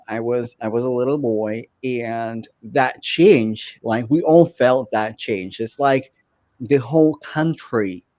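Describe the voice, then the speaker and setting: A man's voice: mid-range voice, darkish tone. Russian-born man, casual podcast guest. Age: 30s. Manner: conversational, many fillers and restarts. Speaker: career and business coach. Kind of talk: podcast guest telling his story.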